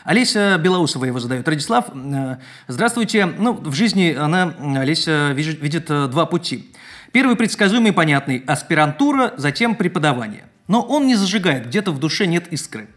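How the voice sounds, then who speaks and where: A man hosting a live interview, reading out listener questions with frequent pauses and fillers. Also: a little high, very animated, fairly bright tone. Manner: hesitant, many fillers. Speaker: interview host.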